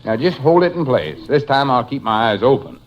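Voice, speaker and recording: An actor playing a testy, self-assured bumbler in an old radio comedy, folksy and defensive. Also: male voice, mid-range voice, animated, brisk, lightly acted. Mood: testy, determined. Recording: noisy, muffled vintage radio recording.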